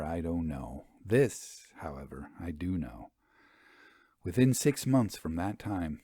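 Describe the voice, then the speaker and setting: Measured male storyteller, dry close sound. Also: on the low side, darkish tone, dry recording. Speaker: storyteller and host. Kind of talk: story narration and informal commentary.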